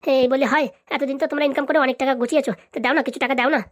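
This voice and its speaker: A woman's voice: high-pitched, steady tone, rapid-fire, natural voice. A woman voicing a broke, pleading, grumbling husband in a comedy cartoon. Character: broke, wheedling husband begging his wife for money.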